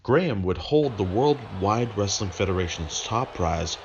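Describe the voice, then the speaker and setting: Low-voiced guy doing an earnest review narration, kinda wordy, slightly dull lofi audio. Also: animated, measured pace, creaky, noisy background. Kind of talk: scripted review narration with enthusiastic unboxing remarks.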